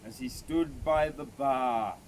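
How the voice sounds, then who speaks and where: A man reciting a bush ballad in a theatrical, measured way with emphatic phrasing. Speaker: poetry reader. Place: outdoors at a cave site. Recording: very noisy, clicks, vehicle-like rumble.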